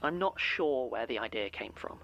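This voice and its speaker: A man acting an anxious, earnest office assistant in an audio drama, halting and stammering with uncertainty. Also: mid-range voice, lively intonation, gravelly, pushed and bright, slight background noise, low-quality recording. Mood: unsure, defensive.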